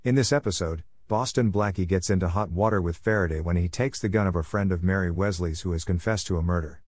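A man hosts with scripted promotional narration. His voice is low and the sound is dry, with no room around it. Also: fast talker, darkish tone, no echo. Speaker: podcast host, introducer.

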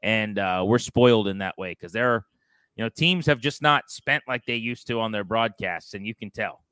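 A man's voice: lowish voice, expressive, moderate pace, bright, forceful tone, clean tone, no echo. A man hosting a sports podcast, talking and giving opinions in a conversational style.

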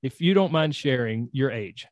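A man's voice: medium pitch, expressive, moderate pace, dry recording. A man hosting an interview, enthusiastic and encouraging, rambling a bit with restarts. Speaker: host. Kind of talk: interview, coaching podcast.

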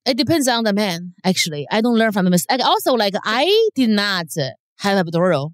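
A woman's voice: medium pitch, sing-song, fairly quick, dry recording, clean studio sound. A woman in her thirties from China with a Chinese accent, a comedian talking frankly on a podcast with many hesitations. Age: 30s. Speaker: comedian guest. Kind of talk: casual podcast chat.

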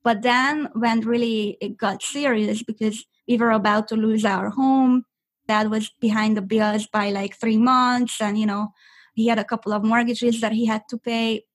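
A woman's voice: fairly high pitch, fairly even intonation, moderate pace. A young woman from Hungary, a native Hungarian speaker, chatting as an interview guest with many fillers and restarts. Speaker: guest affiliate marketer. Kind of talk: interview answers.

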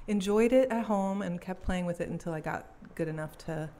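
Belgian-born young woman, lowish voice, chatty and laughing a lot, easygoing interview talk. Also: brisk, dark tone. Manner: chatty, laughs easily, self-deprecating. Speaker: cellist and musician guest. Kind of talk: casual interview chat.

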